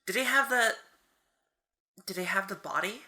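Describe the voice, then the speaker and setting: A woman's voice: medium pitch, moderate pace, sharp tone. A woman playing a frank, caring young superhero in an audio drama, talking conversationally. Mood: nervous, friendly.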